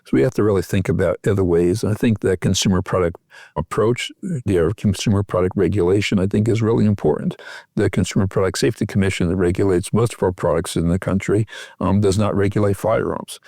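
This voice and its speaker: A man's voice: low-pitched, fairly even intonation, fairly quick, mellow, dry recording, studio quality. A man speaking as an expert guest in a podcast interview, explaining earnestly and repeating key phrases. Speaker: expert guest, physician researcher.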